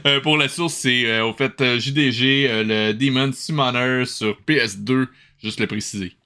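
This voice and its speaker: A man's voice: medium pitch, steady tone, moderate pace, sharp tone, clean tone. A man doing casual, amused reaction commentary, rambling and often interrupting himself. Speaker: host reviewing an old cartoon.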